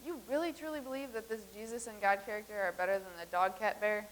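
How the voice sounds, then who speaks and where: A woman reading the part of a brisk woman from the far future who is plotting a coup, in a staged reading. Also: mid-range voice, steady tone, slight background noise, natural voice.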